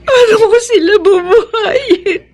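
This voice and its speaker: A repentant young single mother in a Filipino radio melodrama. Her voice is very high, with a plaintive, sorrowful and earnest delivery. Faint music plays behind her. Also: slow pace, a little mellow, full character voice. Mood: tearful.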